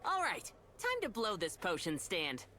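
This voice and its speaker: A woman's voice: mid-range voice, huge pitch swings, a little slow, pushed and bright, slight background noise, full character voice. A woman voicing a scrappy young boy inventor in a video game, brash and slangy. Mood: cocky. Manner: brash, slangy, emotional pleading. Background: game music.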